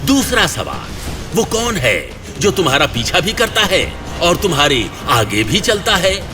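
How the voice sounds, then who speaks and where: A man playing a mysterious, stern guide in an audio drama, speaking ominously and deliberately as he sets tests. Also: a little high, huge pitch swings, music in the background. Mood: solemn testing. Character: mysterious stern male guide who tests heroes with riddles.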